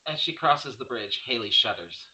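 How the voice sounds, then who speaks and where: Male narrator for screenplay directions: scripted read, medium pitch, crisp bright edge. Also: expressive, moderate pace, low-quality recording. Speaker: narrator reading stage directions.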